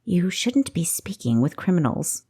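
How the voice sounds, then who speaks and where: A woman's voice: lowish voice, sing-song, a little slow, dark tone, slightly rough, heavily acted. A woman voicing a prim female companion in an audiobook, admonishing with dry wit. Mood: cautioning. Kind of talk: audiobook character acting.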